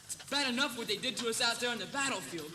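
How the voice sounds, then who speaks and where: An actor playing a wounded, embittered Civil War soldier in an urgent, agitated battle scene. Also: male voice, extremely high pitch, lively intonation, fast talker. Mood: bitter. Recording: noisy, distant cassette battle scene.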